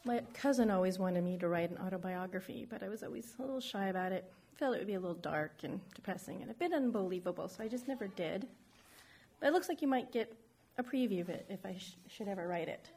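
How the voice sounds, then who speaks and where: A middle-aged woman from San Francisco speaks in a frank, reflective way as she tells her life story. Her pitch sits in an ordinary middle range for a woman, and her delivery is plain spoken, like a personal testimony. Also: animated, fast talker, darkish tone, husky. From San Francisco, United States.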